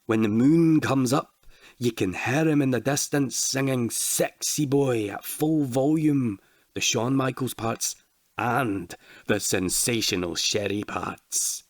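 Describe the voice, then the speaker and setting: A man's voice: mid-range voice, dry recording, clean studio sound, voice pushed up, lightly acted. A man voicing a frightened old man who tells a dire local legend in an ominous, dramatic storytelling way. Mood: ominous warning. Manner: dramatic, ominous, dwelling slowly on words.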